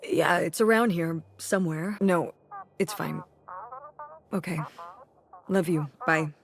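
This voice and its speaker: A woman's voice: fairly low pitch, slow, creaky, over music. A woman voicing a tired, wry middle-aged mother in a naturalistic video game. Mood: weary, irritated.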